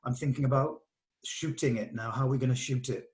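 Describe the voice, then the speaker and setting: A man's voice: medium pitch, steady tone, fast talker. A man, speaking as a director in an informal rehearsal, in a hesitant, thoughtful and encouraging way. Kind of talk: informal rehearsal discussion, some reading of stage directions.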